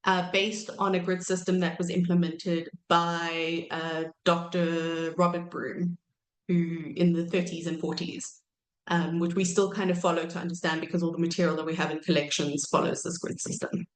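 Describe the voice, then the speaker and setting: A woman in her thirties giving an academic lecture while croaky from a cold, pausing often with ums and correcting herself. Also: on the low side, level tone, roomy sound. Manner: hesitant, many ums and self-corrections. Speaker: archaeology researcher giving a talk.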